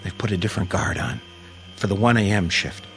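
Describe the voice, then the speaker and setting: An actor in a radio drama playing an anxious test pilot desperate to escape, tense and questioning. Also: male voice, lowish voice, fairly quick, fairly bright tone, slight background noise. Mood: anxious, grave.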